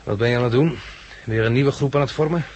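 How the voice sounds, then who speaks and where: Actor as level-headed male survivor, medium pitch, direct and cautious; faintly noisy old radio play. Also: quick pace, a little mellow, close to an everyday voice. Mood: matter-of-fact. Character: practical, cautious male survivor leading the search. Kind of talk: 1960s Dutch radio drama acting. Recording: noisy vintage 1969 radio recording.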